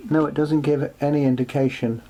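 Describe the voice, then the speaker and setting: A man presenting an unscripted show-and-tell in a workshop, speaking haltingly as he thinks aloud. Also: fairly high pitch, level tone. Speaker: presenter showing vintage electronics for restoration. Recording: clearly noisy, with background hum.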